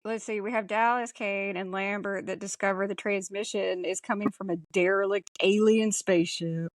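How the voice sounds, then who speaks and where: A woman co-hosts a podcast in a dry, close-sounding recording. Her pitch sits in the middle of the range. She talks informally and with emphasis, as in a casual chat. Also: fairly even intonation, moderate pace, no echo. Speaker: podcast co-host. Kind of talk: casual chat about a sci-fi film.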